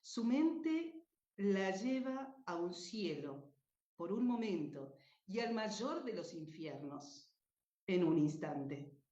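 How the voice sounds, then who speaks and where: A woman presenting and narrating her radio drama in an expressive, deliberate way. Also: mid-range voice. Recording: echoey room, dull muffled sound.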